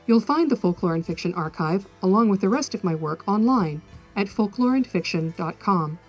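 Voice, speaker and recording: A woman hosting an educational podcast, reading scripted narration in a measured, articulate, explanatory manner. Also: mid-range voice, expressive, a little mellow, faint music behind. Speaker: podcast host, folklorist.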